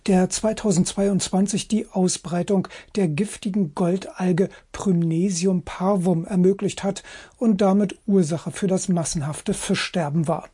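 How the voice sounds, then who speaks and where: A man reporting on a science story for radio in a calm, even manner. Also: high-pitched, unhurried, breathy edge. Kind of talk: scripted news report narration.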